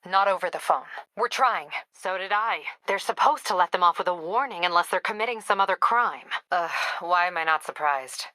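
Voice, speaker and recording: A woman playing a tough, wry police captain in a video game, brisk, clipped and dryly sardonic. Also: lowish voice, sharp tone, no echo. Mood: businesslike.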